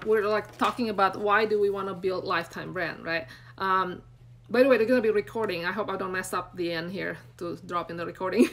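A woman's voice: medium pitch, fairly bright tone, slight background noise. A woman in her forties from Indonesia with an Indonesian accent, speaking on a live stream from her phone in a small room, rambling and earnest. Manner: rambling, filler-heavy, earnest and encouraging. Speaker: brand coach hosting a live stream. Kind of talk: unscripted live-stream talk to viewers. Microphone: phone camera at arm's length.

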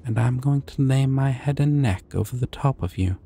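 A man voicing a shy, sweet, gentle dragon in an ASMR roleplay, speaking in a hesitant, tender and soothing way. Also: mid-range voice, moderate pace, heavy background noise, close to an everyday voice. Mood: gentle, reassuring. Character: shy, sweet, gentle young male dragon, eager to please.

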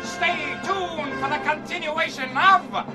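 A woman's voice: sits high, slow, music in the background, a crowd in the background. A woman playing a melodramatic announcer, narrating an old-time radio cliffhanger serial. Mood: grandly suspenseful.

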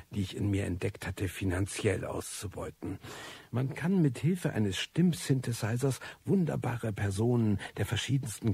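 An actor playing a disillusioned, cynical recluse who tells his life story in a radio drama, wry and bitter, with a husky, gravelly voice. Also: on the low side, a little mellow, exaggerated voice. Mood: dry, confiding. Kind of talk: radio drama first-person monologue.

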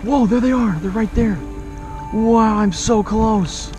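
A young man talks to a kayak-mounted action camera out on open water, his voice slightly high and his delivery unhurried between bursts of breathless, awed exclamations. Music plays clearly underneath him. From raised in Oklahoma, born in Kansas. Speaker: kayak fishing vlogger. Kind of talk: excited outdoor vlog commentary. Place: kayak on open sea, outdoors.

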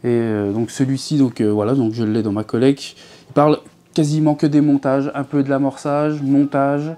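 A man in his thirties chats casually into a clip-on mic from his living room, rambling along with fillers and false starts. His voice is mellow and relaxed. His pitch sits in an ordinary middle range. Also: moderate pace. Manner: chatty, rambling, many fillers and restarts. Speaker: fishing channel host. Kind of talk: casual chat to viewers. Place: living room at home, seated in an armchair.

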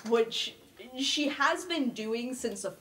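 A woman taking part in a tabletop game podcast. She chats casually in a fairly high voice as she explains what her character is doing. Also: expressive, takes it slowly, a bit bright.

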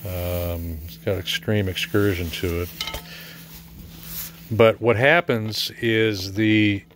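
A middle-aged American man giving a casual, halting, matter-of-fact walkthrough as a repair technician, filming in his workshop. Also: on the low side, fairly even intonation. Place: cluttered indoor repair workshop. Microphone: handheld camera or phone mic. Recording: faint background noise.

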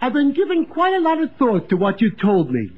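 An actor playing a forgetful, loyal, slow-witted sidekick in a goofy manner for a 1950s radio comedy. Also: male voice, extremely high pitch, huge pitch swings, breathy edge, exaggerated voice. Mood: dopey eagerness. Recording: dull 1950s radio recording.

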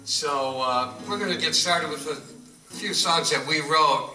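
Man speaking slowly in an echoey live room. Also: on the high side, sharp tone. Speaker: singer-songwriter and bandleader. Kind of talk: stage banter between acoustic songs. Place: art gallery, live performance. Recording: echoey live gallery performance from far off.

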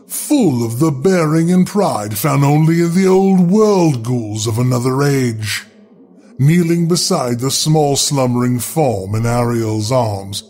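A man reads scripted gothic horror with theatrical care. His pace is slow and his pitch moves widely, with faint music behind him. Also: a little mellow, breathy edge. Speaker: horror story narrator. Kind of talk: scripted gothic story narration.

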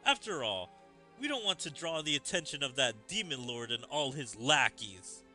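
A man's voice: mid-range voice, sing-song, normal speed, bright, forceful tone, faint music behind. A man voicing a sly ruffian boss from a fantasy game, reading the dialogue aloud.

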